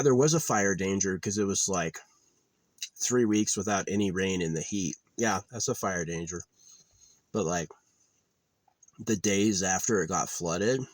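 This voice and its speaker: A young man with a very low voice rambles on in an offhand, meandering way, often trailing off into filler. He's outdoors at a quiet campsite. The sound is dry and close, with little room around it. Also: dry recording. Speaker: vlogger. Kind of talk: rambling casual monologue.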